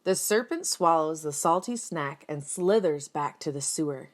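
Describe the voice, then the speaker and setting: A woman reading a picture book aloud for children in an expressive storytelling style, speaking directly and simply to young listeners with dramatic emphasis. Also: sits low, normal speed. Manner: dramatic emphasis, acts out sound words. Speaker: children's read-aloud reader.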